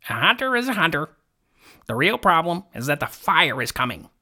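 A man voicing a stern, blunt raven with a croaky voice in a storybook tale. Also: fairly high pitch, big pitch swings, normal speed, pitched above the performer's own voice, exaggerated voice. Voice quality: croaky, sharp.

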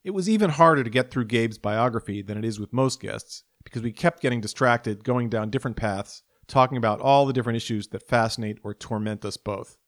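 A man's voice: medium pitch, fairly quick, few pauses, no room sound, studio quality. A man hosting a podcast, reading a careful introduction and then interviewing a guest. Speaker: podcast host.

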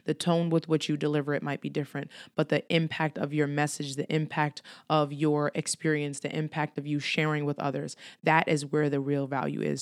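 A woman with a low, deep voice hosts her own solo podcast. She sounds a little under the weather, but she stays earnest and encouraging and builds her points through repetition. It is a close, dry studio recording. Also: no room sound. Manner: earnest, encouraging, repeats phrases for emphasis. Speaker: podcast host.

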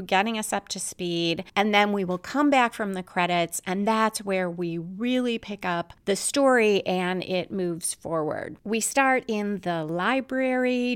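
Woman giving measured explanatory commentary. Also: mid-range voice, clean tone. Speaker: podcast host analysing a TV episode. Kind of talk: explanatory commentary, semi-scripted.

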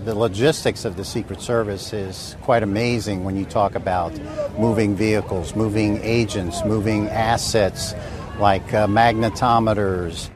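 A man's voice: fairly low pitch, fairly even intonation, a little slow, music in the background. A man giving an explanatory news interview soundbite as an expert. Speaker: former Secret Service agent, interviewee. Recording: news clip with clear outdoor noise.

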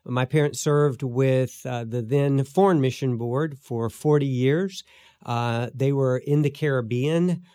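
Middle-aged male podcast co-host in his fifties, mid-pitched, hesitant and filler-heavy, close and dry. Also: slow pace, warm tone, dry recording. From born in Guyana, raised in Belize. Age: 50s. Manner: hesitant, many fillers and restarts. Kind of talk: casual interview chat.

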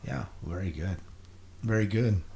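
A man with a fairly low voice hosts a podcast. He speaks in casual, conversational interview style. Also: measured pace, gravelly, warm tone. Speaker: podcast host.

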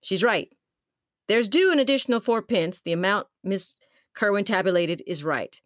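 A woman's voice: mid-range voice, animated, moderate pace, no echo, studio quality, lightly acted. A woman voicing a fussy, businesslike male solicitor in a spoken storybook reading. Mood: matter-of-fact.

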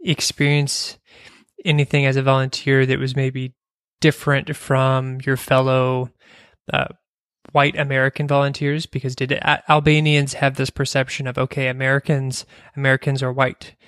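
A man interviewing a guest, asking measured, somewhat hesitant questions. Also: mid-range voice, flat delivery, a bit bright, clear, resonant tone, no room sound, studio quality. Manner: measured, hesitant, brief affirmations.

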